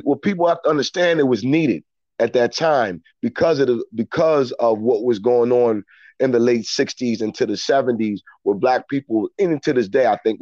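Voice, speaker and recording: A man's voice: medium pitch, darkish tone, no room sound. Middle-aged American man, dull close recording. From Philadelphia, United States. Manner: halting, repeats words as he thinks. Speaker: interview guest, actor and storyteller. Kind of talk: reflective personal storytelling in conversation.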